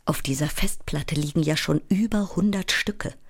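A woman's voice: sits low, breathy edge. A woman playing a sleepless, frantic stage actress on the verge of breakdown, in an overwrought theatrical monologue. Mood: overwhelmed, frantic. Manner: overwrought, dramatic, deliberate delivery. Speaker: actress in a solo stage play. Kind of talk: theatrical monologue, shifting between declaimed recitation and muttering. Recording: loud music under the voice. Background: loud music.

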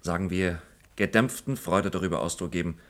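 A deep-voiced, solemn jurist in a radio play. He is pompous and oratorical, choosing formal, weighty phrases. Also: brisk, fairly bright tone. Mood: solemn, indignant. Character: solemn, pompous male court colleague. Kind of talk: German radio play acting.

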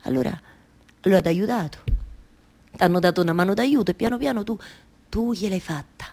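A woman's voice: mid-range voice, darkish tone, natural voice. An actress with a Roman accent playing an impassioned, wistful wife in a theatrical monologue, repeating her phrases obsessively as she retells her husband's odyssey. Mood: confiding, agitated. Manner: obsessive repetition of phrases, halting then surging. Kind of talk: theatrical radio monologue with live music.

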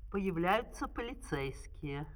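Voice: medium pitch, lively intonation, slow. A narrator reading scripted stage action for a fairy-tale audio opera. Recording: reverberant vinyl record with music behind.

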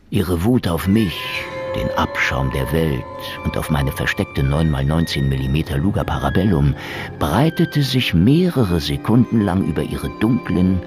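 A jaded, sardonic noir antihero with an extremely low voice. He broods in a deadpan way, yet his pitch swings widely, with music playing behind him in a radio play. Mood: grim. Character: world-weary, sardonic hard-boiled man, jailed ex-state-security agent. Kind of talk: noir first-person radio drama narration.

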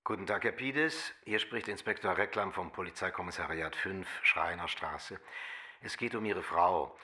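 An actor playing a formal, measured police inspector leaving an answering-machine message in a radio play. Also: male voice, low-pitched, sharp tone. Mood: formal, sober.

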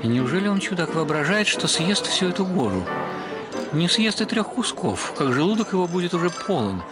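A man's voice: high voice, very animated, normal speed. An actor playing an anxious, indignant Frenchman in a radio short story, muttering to himself in fretful astonishment. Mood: astonished. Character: anxious, indignant Frenchman watching a glutton in horror. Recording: music behind the voice.

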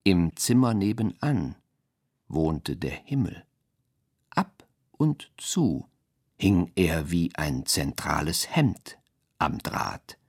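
Strange male radio drama figure, surreal poetic recitation, husky, halting, swooping intonation, extremely slow. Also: deep voice, sing-song, a little mellow, exaggerated voice.

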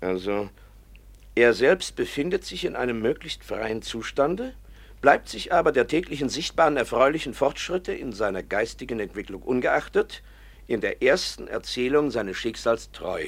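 An earnest, principled schoolteacher in a radio play. He speaks at a middle pitch with steady conviction. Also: moderate pace, creaky, slightly rough. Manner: earnest, reads aloud from an official report. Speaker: professor and teacher.

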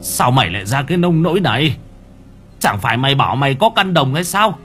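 A man voicing a stern old spirit master who scolds in a gruff, grave way, his tone rising and falling. Faint music plays underneath. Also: medium pitch, big pitch swings, a little fast, sharp tone.

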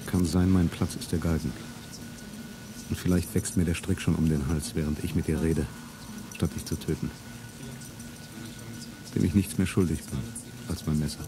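An actor playing a grim, defiant rebel man, declaiming gravely and solemnly in a radio play. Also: very deep voice, fairly even intonation, normal speed, long pauses, noisy background. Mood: defiant, bitter. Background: music.

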